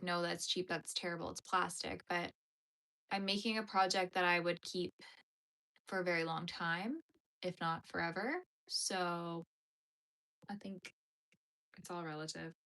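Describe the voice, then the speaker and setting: A woman's voice: fairly low pitch, steady tone, normal speed, a bit bright, rough recording. Chatty woman in her thirties, rambling. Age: 30s. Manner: rambling, chatty, lots of fillers. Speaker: knitting channel host. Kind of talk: casual chat while knitting.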